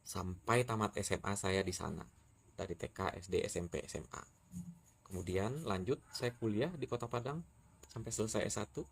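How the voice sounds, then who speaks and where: A man's voice: on the low side, normal speed, creaky, slight background noise. A man from West Sumatra firmly scolding and challenging a critic in a heated monologue. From West Sumatra, Indonesia. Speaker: TikTok creator answering a critic.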